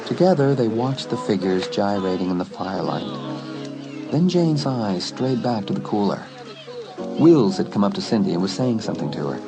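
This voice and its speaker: A man's voice: fairly low pitch, very animated, moderate pace, creaky. A man reading scripted fiction as a dramatic audiobook narrator. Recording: music under the voice. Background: music.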